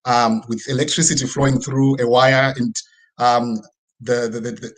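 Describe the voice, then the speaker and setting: A man's voice: mid-range voice, little pitch movement, normal speed. A man with a Zimbabwean accent giving a personal, motivational online presentation in a conversational way, often checking in with short tag questions. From rural Midlands region of Zimbabwe. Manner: conversational, frequent tag questions seeking agreement. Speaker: guest speaker. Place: online video presentation with screen sharing.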